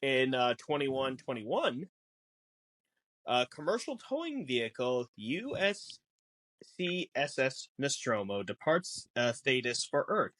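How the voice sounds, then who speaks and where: A man with a mid-pitched, very animated delivery explains film lore as a podcast guest. He is casual and theatrical, slipping into mock exasperation. The sound is dry and close. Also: slow, dry recording.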